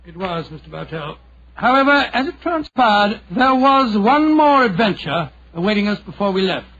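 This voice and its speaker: High-voiced genial old English gentleman storyteller. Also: very animated, moderate pace, full character voice. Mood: reflective. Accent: British. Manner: avuncular, hesitant, old-fashioned phrasing. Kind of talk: 1940s radio drama storytelling. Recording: muffled vintage 1940s radio recording.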